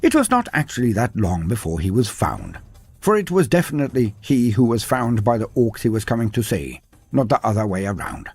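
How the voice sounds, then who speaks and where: A man's voice: mid-range voice, sing-song, a little fast, husky. Theatrical man narrating with sweeping intonation. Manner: theatrical, grandiose. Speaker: narrator of fantasy lore videos. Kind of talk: dramatic scripted storytelling narration. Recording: background music under the voice. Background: music.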